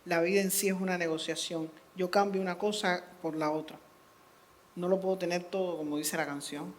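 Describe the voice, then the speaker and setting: A woman speaking on a panel in a reflective, measured way. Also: on the low side, steady tone, a little hiss or hum. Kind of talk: panel discussion.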